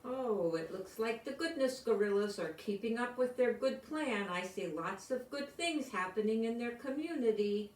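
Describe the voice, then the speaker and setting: A woman's voice: a little high, lively intonation, normal speed, few pauses, a little mellow, a little hiss or hum. An older woman reads a children's story aloud, adding simple explanatory asides for young listeners. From United States. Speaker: storybook reader. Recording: roomy echo, distant microphone.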